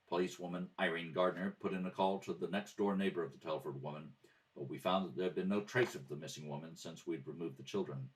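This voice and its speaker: A man reading scripted police procedural narration in a clipped, matter-of-fact, deadpan way. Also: low-pitched. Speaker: amateur radio theatre reader. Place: at a kitchen table. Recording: slightly distant home microphone.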